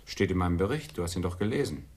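Brusque, lowish-voiced policeman. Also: expressive, fast talker, darkish tone. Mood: matter-of-fact. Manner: brusque, matter-of-fact. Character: bossy, dry police sergeant. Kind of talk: German radio crime drama acting.

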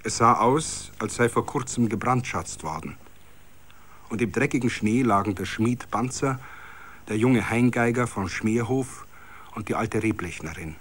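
A man's voice: fairly low pitch, unhurried, fairly bright tone, husky. Bavarian man reading dramatic literary prose. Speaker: reader of a literary story. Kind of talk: dramatic scripted literary narration.

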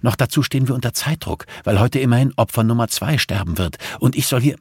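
A man performs an exasperated female investigator in a thriller audiobook. She sounds husky and terse, hissing with reluctance. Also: mid-range voice, lively intonation, quick pace, no room sound, clean studio sound, pitched above the performer's own voice.

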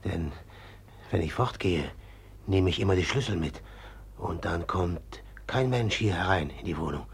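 An actor in a German radio drama playing a brooding, submissive killer who speaks haltingly in a hushed, repetitive way. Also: male voice, low-pitched, animated, breathy edge, exaggerated voice. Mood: subdued, haunted. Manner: halting, hushed, repeating himself. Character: brooding, eerily submissive man who has killed a woman.

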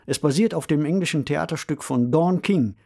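A man's voice: fairly high pitch, normal speed, creaky, a little mellow. A man presenting a podcast in scripted, well-formed narration.

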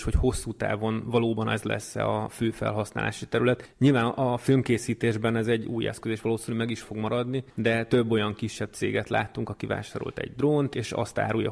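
A man's voice: lowish voice, vocal fry. A man answering interview questions in an explanatory, business-like register. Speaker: interviewed board member.

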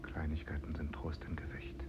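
Grim inner voice of war for radio: bottomless bass, monotone, hushed and solemn, muffled sound. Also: male voice, moderate pace, in a whisper. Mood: hushed, soothing. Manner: hushed, flat, solemn pronouncements. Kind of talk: terse, incantatory radio drama. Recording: dull, very noisy radio production.

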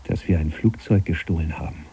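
An actor playing a stubborn, defiant dreamer who longs to escape, in a German radio play, speaking emphatically and declamatorily. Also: male voice, a bass voice, brisk, warm tone, a little hiss or hum. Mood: quiet resolve. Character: defiant dreamer, grown man rejecting inheritance, longing to escape.

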